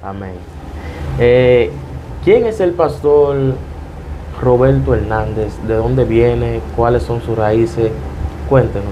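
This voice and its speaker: A man's voice: mid-range voice, slow, muffled recording, slight background noise. A man, hosting a religious interview podcast in a devout manner. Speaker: podcast host.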